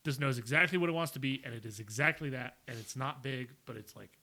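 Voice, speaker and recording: A young man with a bright, ringing voice chats loosely while reviewing beer with friends. He laughs often and circles back on his points, hedging as he goes. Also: mid-range voice, fast. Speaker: podcast co-host reviewing beer. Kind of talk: casual chat.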